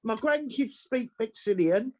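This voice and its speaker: A woman's voice: fairly high pitch, animated, measured pace, dark tone. An older woman with a London accent, talking bluntly in a group video call. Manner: blunt, outspoken.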